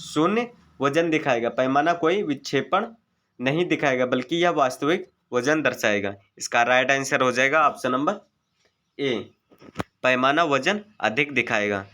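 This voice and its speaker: A man's voice: medium pitch, animated, unhurried, bright, forceful tone. A man teaching an exam-prep lesson, methodically reading out multiple-choice questions, their options and the right answers. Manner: methodical, formulaic delivery.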